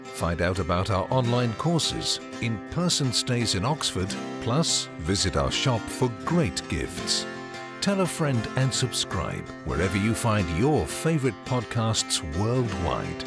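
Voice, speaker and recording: Lively male announcer over guitar music. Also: fairly low pitch, slow. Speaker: podcast announcer. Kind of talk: scripted promotional outro. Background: plucked guitar music.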